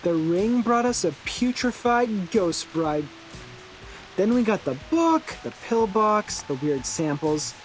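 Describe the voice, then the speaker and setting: A man's voice: sits high, big pitch swings, slow pace, soft background music, heavily acted, rough recording. An actor playing an eccentric, theatrical young man who loves horror, in a video game. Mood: scheming. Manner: theatrical, teasing, grandiose. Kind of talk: English video game character acting.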